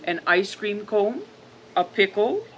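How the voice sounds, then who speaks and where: A woman's voice: medium pitch, big pitch swings, takes it slowly, lo-fi sound. An older woman hosting children's storytime, reading aloud interactively, prompting with questions and counting out with emphasis. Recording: noisy action-camera sound.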